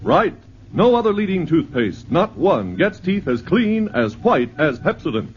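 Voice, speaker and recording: Ad announcer guy, emphatic, kinda high voice, dull old radio sound. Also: very animated, measured pace, faint music behind. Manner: emphatic pitchman. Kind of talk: radio commercial.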